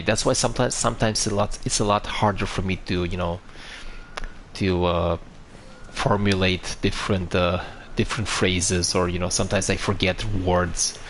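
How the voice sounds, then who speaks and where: A young man with a Romanian accent talks in a somewhat low voice on a relaxed live stream, answering chat and walking through a game. He restarts his phrases often as he explains. A faint hum sits behind him. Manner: chatty, answers viewers and walks through the game with frequent restarts.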